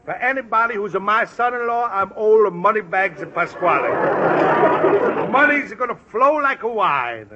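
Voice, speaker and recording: A jolly Italian papa. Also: male voice, expressive, measured pace, exaggerated voice. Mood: jovial. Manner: booming, broken English, jovial. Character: boisterous Italian immigrant father, jolly and bossy. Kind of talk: 1950s radio comedy acting. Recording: dull lo-fi old radio recording with loud hiss.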